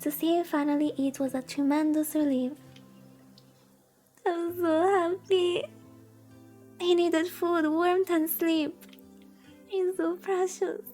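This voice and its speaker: A woman's voice: high-pitched, warm tone. A woman streamer reading a visual novel aloud in a soothing way, with cutesy, gushing chatty asides. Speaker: VTuber streamer.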